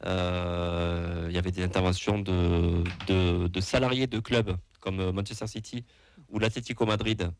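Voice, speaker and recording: A man's voice: deep voice, flat delivery, normal speed, slight background noise. A young man with a southwest French accent, speaking for a supporters' association, hesitant and full of fillers and restarts. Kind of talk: casual radio talk.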